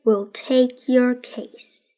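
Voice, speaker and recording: A woman voicing a serious young boy detective in a storybook, deadpan and matter-of-fact. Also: fairly high pitch, very slow. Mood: businesslike.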